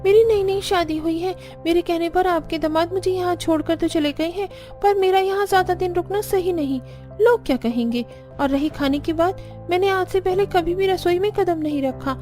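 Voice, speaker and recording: A woman's voice: very high voice, darkish tone. A woman playing a spoiled, complaining young married daughter in a cartoon. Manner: complaining, indignant. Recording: background music.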